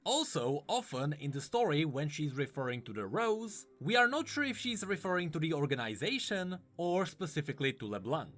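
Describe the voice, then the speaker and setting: A man's voice: a little high, sing-song, fairly quick, hardly any pauses, a bit bright, soft background music. A man giving scripted explanatory commentary in the style of a video narrator. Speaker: lore explainer.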